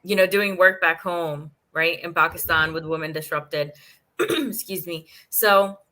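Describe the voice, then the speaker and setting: A woman's voice: on the low side, unhurried, pushed and bright. A woman host with an Indian accent chatting casually with her guest, often laughing and murmuring agreement. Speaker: podcast host. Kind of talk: casual interview chat.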